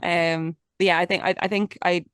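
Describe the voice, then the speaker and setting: A woman's voice: fairly low pitch, level tone, moderate pace, a bit bright, clean tone, no echo, studio quality. A young adult Irish woman in a casual interview, talking candidly and chattily with lots of restarts and fillers. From Ireland. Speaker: interview guest, musician.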